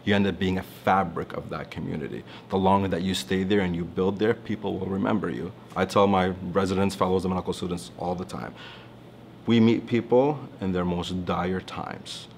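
Man, 30s, from Toronto; low, reflective, earnest; seated studio testimonial with slight background noise. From Toronto, Canada. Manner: earnest, reflective, gestures while talking. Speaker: alumnus physician. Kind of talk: interview testimonial. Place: studio with branded backdrop, indoors.

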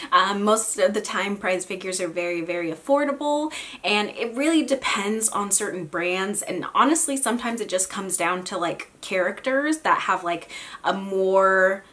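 A young woman with a raspy, sick voice chatting casually about her figure collection, with lots of ums and self-mocking asides, filmed at home at desk distance. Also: mid-range voice, a bit bright. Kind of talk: casual unboxing chat. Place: home room with figure shelves. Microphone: camera at desk distance.

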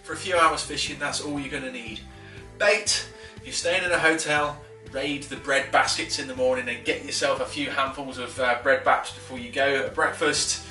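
An enthusiastic man in his thirties explains fishing tackle in a casual, chatty way, with lots of fillers and restarts. His voice is bright and forward. It is recorded from a little distance in an echoey living room, with faint music underneath. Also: medium pitch, fairly even intonation, normal speed, hardly any pauses. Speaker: fishing instructor. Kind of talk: casual tackle tutorial to camera. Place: living room at a wooden table. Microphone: camera a few feet away.

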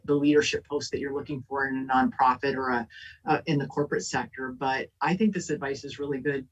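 A woman panelist talks on a video call, earnest and searching for words, with plenty of fillers and restarts. Her voice is a little husky and sounds set back from the mic, with distant call audio. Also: low-pitched. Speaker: panelist, elected official. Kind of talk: casual panel talk.

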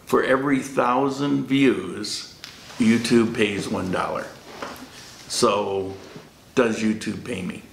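A man's voice: lowish voice, takes it slowly, gravelly, a little hiss or hum. A middle-aged man hosting a casual question-and-answer vlog, joking and laughing often. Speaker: vlog host. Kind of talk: casual Q&A chat. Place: small warm-lit room indoors. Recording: roomy echo.

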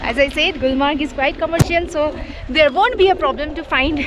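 A woman's voice: high-pitched, moderate pace. A young woman chatting to camera outdoors in the snow, easily mixing English and Hindi. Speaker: travel vlogger. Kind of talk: travel vlog talking to camera. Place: outdoors in snowy mountains. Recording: music playing underneath.

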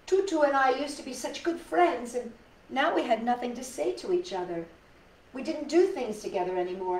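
A middle-aged woman reading a picture book aloud to children, with dramatic pauses and chatty asides to her listeners. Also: a little high. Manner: animated, dramatic pauses, addresses young listeners. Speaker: storytime host reading picture books to children. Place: indoors in a living room. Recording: roomy, distant mic with background noise.

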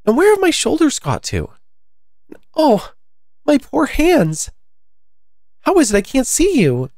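Woman playing a storybook little girl, high-pitched, polite, earnest, bewildered, lively intonation. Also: moderate pace, no echo, clean studio sound. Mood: wondering. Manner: earnest, protesting, hesitant. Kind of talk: storybook character voice.

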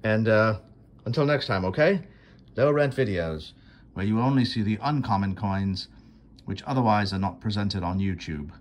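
A man hosting his own coin video, narrating an unboxing from off camera in a dry, self-deprecating way with unhurried pauses. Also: on the low side. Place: indoors at a marble tabletop. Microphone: phone camera held over a table.

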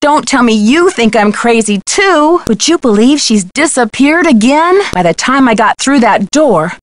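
A woman's voice: a little high, animated, unhurried, no echo. A woman voicing a plucky young amateur detective in a video game, earnest, inquisitive and determined. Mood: concerned.

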